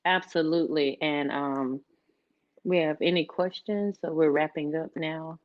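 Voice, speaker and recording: A woman's voice: low voice, fairly even intonation, unhurried, muffled recording. A woman from Memphis speaking as a panelist, giving earnest, encouraging advice in a conversational way. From Memphis, USA. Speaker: panelist, record label manager.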